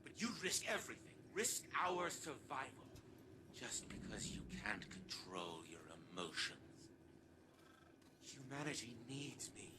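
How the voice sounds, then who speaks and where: An arrogant old genius villain in a game cutscene. He is pompous and self-justifying, his pitch swinging dramatically over clearly audible background effects. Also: sing-song, unhurried, background noise. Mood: urgent, imperious. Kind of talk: video game cutscene acting. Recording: loud ambient effects behind voice.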